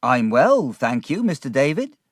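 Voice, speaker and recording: A worn, honest man telling of a long search, speaking simply and earnestly, slightly raised in pitch. He is voiced by a man reading a story. The sound is dry. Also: sing-song, unhurried, no echo. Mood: weary. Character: weary, plain-spoken man searching for his niece.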